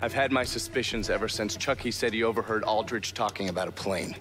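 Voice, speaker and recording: An actor playing a gruff, foul-mouthed intelligence operative in a video game, terse and cynical as he briefs a partner. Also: on the low side, a little fast, close to an everyday voice. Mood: grave, matter-of-fact. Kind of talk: video game cutscene acting.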